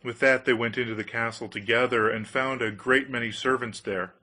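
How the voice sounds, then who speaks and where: A man narrating a storybook fairy tale in an expressive, measured way. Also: medium pitch. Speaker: audiobook narrator.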